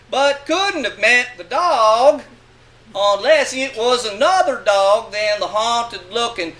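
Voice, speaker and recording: Animated high-pitched man reading fiction aloud. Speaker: reader of a short story. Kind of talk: animated dramatic reading of a literary monologue.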